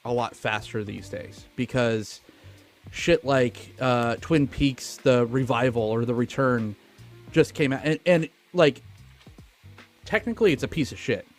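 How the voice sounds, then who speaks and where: A man hosting a podcast, talking casually and profanely about a film in an animated, informal way. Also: medium pitch, unhurried, faint music behind. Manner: animated, informal retelling with acted-out asides. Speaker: podcast host.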